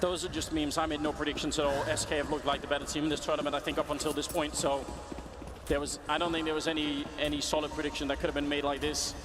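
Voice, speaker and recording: A man commentating a live esports match, energetic and rising to shouts at the big plays, heard on an arena broadcast. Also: a little high, fast talker, far from the mic, noisy background. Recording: arena broadcast with loud crowd and music.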